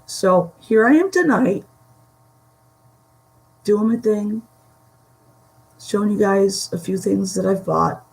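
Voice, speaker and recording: Slow-talking Western New York woman, gravelly. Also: mid-range voice, darkish tone, poor audio. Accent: Western New York Great Lakes. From Buffalo, New York area. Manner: slow, halting, many fillers. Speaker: live stream seller. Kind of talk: casual live stream chat. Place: home room.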